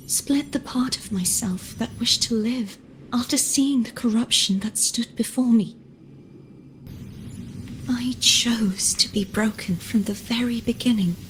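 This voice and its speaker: A woman voicing a gentle, solemn young woman in a video game, speaking softly and breathily with measured, weary care. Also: fairly high pitch, slight background noise, close to an everyday voice. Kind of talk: dramatic video game dialogue.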